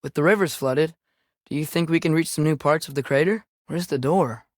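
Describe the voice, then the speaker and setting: A man's voice: fairly high pitch, brisk, no echo, clean studio sound, natural voice. A young male actor with an American accent voicing an earnest, curious teenage boy adventurer in a video game, speaking in a casual, questioning way. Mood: curious, suggesting. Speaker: video game companion character. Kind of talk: scripted game dialogue, conversational.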